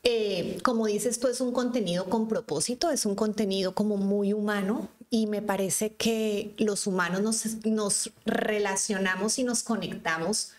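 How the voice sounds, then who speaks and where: A Colombian woman in her fifties, from Cali, talks easily as a podcast guest. Her voice is mid-pitched and conversational, and she restarts her sentences often as she tells her stories. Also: steady tone, a little slow, hardly any pauses. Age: 50s. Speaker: guest, physician. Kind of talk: casual conversational interview.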